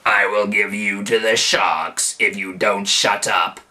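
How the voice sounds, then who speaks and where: A male narrator voicing a huge, cold pirate chief with a low, grating voice and slow delivery. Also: steady tone. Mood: menacing.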